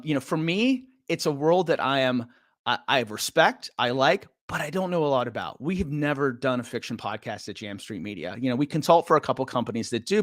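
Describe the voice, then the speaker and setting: A man's voice: medium pitch, a bit bright, no room sound, studio quality. A middle-aged man hosting a casual talk with slides, speaking loosely and chattily, with many fillers and restarts. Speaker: podcast company president and host.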